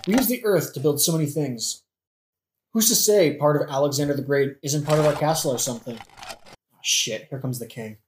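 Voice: mid-range voice, moderate pace, natural voice. An actor in a satirical audio play playing an exasperated, brooding young prince who talks in a casual, sweary, dramatic way. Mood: surprised, wary. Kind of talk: satirical modern-language audio play acting. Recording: echoey room with faint noise.

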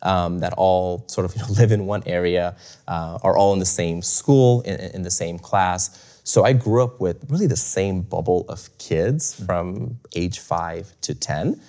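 Low-voiced guy from bulgaria, casual interview chat, lots of ums and little laughs. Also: unhurried, warm tone. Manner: reflective, many fillers and restarts, chuckles often. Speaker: interview guest.

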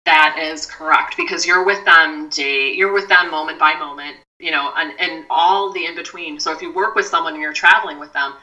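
Woman guest, low and bright, a little off-mic, measured advice-giver. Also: far from the mic, muffled recording. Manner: measured, advising, with many "you know" asides. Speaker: mindfulness expert guest. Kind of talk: podcast conversation.

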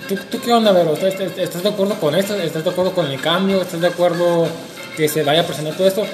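A man's voice: high-pitched, quick pace. A man co-hosting a casual panel discussion, hesitant and searching for words, with dry jokes. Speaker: co-host. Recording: echoey room with music behind.

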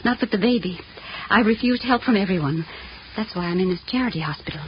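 A woman's voice: medium pitch, animated, fast, lightly acted. Actress as determined single mother, earnest, tender, vintage radio acting, muffled sound, light noise. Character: devoted, proud single mother, poor but determined. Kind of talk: 1940s radio drama acting. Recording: dull, noisy 1940 radio broadcast.

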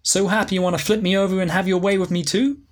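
A sultry, brazen woman who calls everyone sweetie and can't resist a suggestive jab, as a man puts her on while reading a story. The voice sits well above his own and stays husky and breathy. She teases with relaxed confidence. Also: sits high, quick pace, pitched above the performer's own voice. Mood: flirty teasing. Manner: teasing, pet names. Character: sultry flirtatious woman, brash and teasing.

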